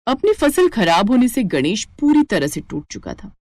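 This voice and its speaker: A woman's voice: fairly high pitch, wildly swooping pitch, normal speed, a little mellow, dry recording. A woman narrating a scripted moral story as the storyteller.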